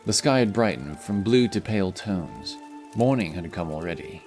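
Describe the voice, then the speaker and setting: A man's voice: sits low, animated, moderate pace, darkish tone. A man reading scripted fantasy story narration as the narrator of an audio drama. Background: music.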